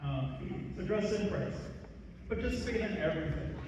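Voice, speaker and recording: A male teacher giving an open-house talk to parents and students, often checking in with quick "okay?" questions and quizzing the room. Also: high-pitched, animated, background noise. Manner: keeps checking in with "okay?" and "all right?", quizzes the audience. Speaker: high school teacher presenting to parents and students. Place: school hall or large room. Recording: echoey hall, recorded from far off.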